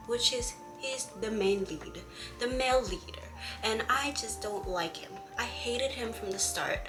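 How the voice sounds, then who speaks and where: Woman vlogging from home, bright voice, rambly and fed up, soft music behind. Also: on the high side. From Hawaii. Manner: chatty and exasperated, many fillers and restarts. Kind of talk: casual chat about a comic.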